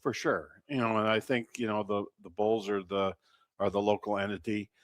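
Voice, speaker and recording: A low-voiced man gives an interview as a baseball team representative. He talks in a measured, halting way, stopping mid-thought before carrying on. The sound is close and dry. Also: fairly even intonation, no room sound. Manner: measured, halting, often pausing mid-sentence. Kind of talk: unscripted sports interview talk.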